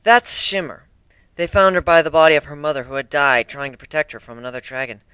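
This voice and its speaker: Storybook female cat adventurer , done by a reader; friendly, protective, sincere, lively pitch. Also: quick pace, clean tone, no room sound, lightly acted. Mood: solemn. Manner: earnest, defensive.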